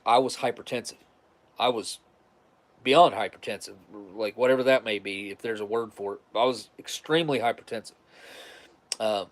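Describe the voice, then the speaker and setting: Man, congested and nasal, loose rambling host chat with lots of restarts. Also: medium pitch. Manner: rambling, restarts often, conversational. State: stuffed-up nasal voice. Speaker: livestream host. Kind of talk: casual rambling monologue.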